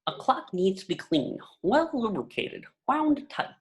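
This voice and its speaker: Woman acting petty pompous boss, swooping pitch. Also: big pitch swings, measured pace. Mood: pompous lecturing. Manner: pompous, clipped, condescending. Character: petty, fussy, tyrannical middle-aged male office boss. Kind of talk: amateur script table read.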